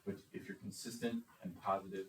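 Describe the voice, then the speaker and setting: A very low-voiced man answers interview questions with confident, measured self-assurance. He is picked up from a distance in a room that echoes, with a faint hiss underneath. His manner is steady and deliberate. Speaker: superintendent candidate. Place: meeting room.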